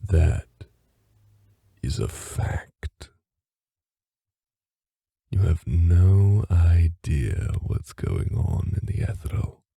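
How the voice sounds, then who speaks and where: A man's voice: deep voice, sing-song, drawn-out words, gravelly, pauses often, dark tone, breathy edge, no room sound, studio quality, exaggerated voice. An actor playing a haughty, manipulative fae father with a cold, formal, condescending way of talking in a fantasy audio drama. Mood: cold menace. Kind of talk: fantasy audio roleplay acting.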